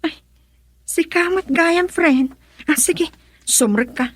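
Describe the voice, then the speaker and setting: A woman acting an ambitious, brisk village friend who lends money in an Ilocano radio drama, speaking expressively and emphatically. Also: very high voice. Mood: welcoming.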